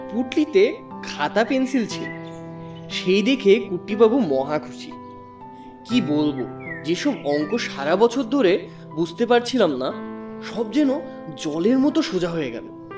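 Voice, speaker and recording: Animated storyteller over background music. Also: medium pitch, far from the mic. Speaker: narrator. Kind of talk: dramatic scripted storytelling in first person.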